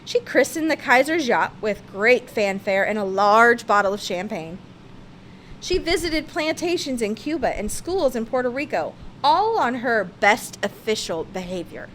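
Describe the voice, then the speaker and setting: A woman's voice: fairly high pitch, very animated, a little slow, fairly bright tone, slight background noise. A woman reads a children's picture-book biography aloud with theatrical, emphatic expression. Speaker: storybook reader.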